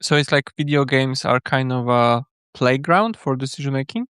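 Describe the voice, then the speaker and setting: A man's voice: medium pitch, normal speed, fairly bright tone, clear, resonant tone, no echo, studio quality. Clean-voiced man hosting a livestream. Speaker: livestream host and interviewer. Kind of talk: casual live interview.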